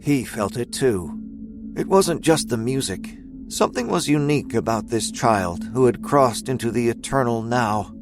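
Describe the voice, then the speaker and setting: A man delivers scripted, dramatic fiction narration in a mid-range voice. His storytelling is measured, and soft music plays faintly behind him. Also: mid-range voice, expressive.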